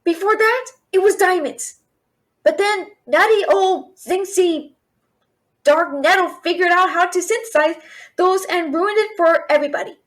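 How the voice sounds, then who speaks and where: Very high-pitched young woman, actress playing a brash fantasy thief, chatty, sarcastic, exasperated, audio roleplay. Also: low-quality recording. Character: brash, fast-talking young female thief and failed alchemist, captive. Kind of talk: fantasy audio roleplay, addressing an unseen listener.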